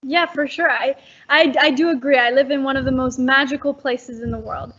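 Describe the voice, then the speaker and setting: A woman's voice: high-pitched, steady tone, fairly quick, a bit bright, highs cut off. A teenage girl from Indonesia answering interview questions over a video call from home at night, eager and full of ums. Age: teens. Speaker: guest.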